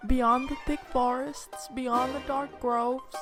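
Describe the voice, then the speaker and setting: A woman voicing a naive, pleading male rooster in a folk tale, speaking in drawn-out rhyme. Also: high-pitched, flat delivery, loud music behind the voice, pitched above the performer's own voice. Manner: rhyming, drawn-out delivery.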